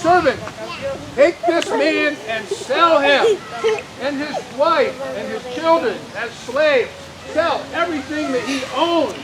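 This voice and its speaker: A woman voicing a wealthy, blustering but soft-hearted puppet master in a theatrical outdoor children's show. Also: high voice, expressive, over a noisy crowd. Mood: stern. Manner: theatrical, exclaiming, deliberate. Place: outdoors at an open-air show. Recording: windy, noisy outdoor live recording.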